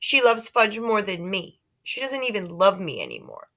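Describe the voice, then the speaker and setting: A woman acts out an indignant grade-school boy in a storybook. The voice is bright and very animated, blunt and full of complaint. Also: medium pitch, moderate pace, pitched above the performer's own voice. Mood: sulky, hurt. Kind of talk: children's storybook read aloud.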